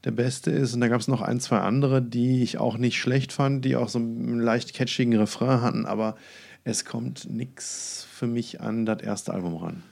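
A man with a creaky, mid-pitched voice chats as co-host of a music podcast. His delivery is casual and colloquial, with hesitant self-corrections along the way. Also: fairly even intonation, moderate pace, darkish tone.

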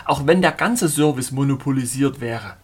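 A man reading an audiobook voices a fanatical male preacher who rants in a husky, declamatory way. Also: medium pitch, moderate pace, lightly acted. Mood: fervent ranting. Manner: shouting, declamatory.

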